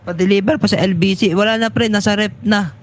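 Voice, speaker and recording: A man's voice: high voice, fairly even intonation, brisk. A man in his twenties with a Filipino accent, hosting a talk show in a loose, rambling, informal way and mixing in English phrases. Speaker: internet radio talk show host. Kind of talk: casual rambling sign-off chat.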